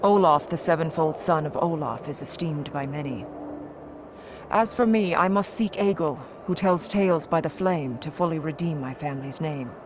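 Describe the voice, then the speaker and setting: An actress playing a proud Norn warrior woman in a fantasy video game, speaking gravely and formally. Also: deep voice, normal speed, noisy background, natural voice.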